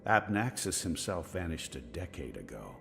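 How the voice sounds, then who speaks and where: A man's voice: low-pitched, sing-song, moderate pace, gravelly, a bit bright, soft background music, full character voice. An actor playing a scholarly, curious, dry-witted older man in a video game, musing in a bookish way. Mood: dryly curious. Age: middle-aged. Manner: bookish, musing, exclaiming over finds. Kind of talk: video game dialogue acting.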